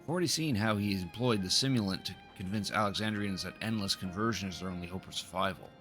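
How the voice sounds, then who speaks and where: Male streamer, deep and forceful, casually narrating on-screen game dialogue, soft music underneath. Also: quick pace. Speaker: livestreamer playing a game. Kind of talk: reading game dialogue aloud with casual asides. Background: video game music.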